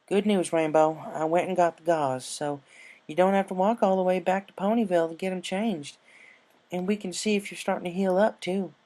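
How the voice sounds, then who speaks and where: Guy doing a farm mare, lady pony, bit higher voice, firm, folksy, caring storybook character. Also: fairly even intonation, normal speed, a little mellow, natural voice. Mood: caring, upbeat. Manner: folksy, firm, plain-spoken.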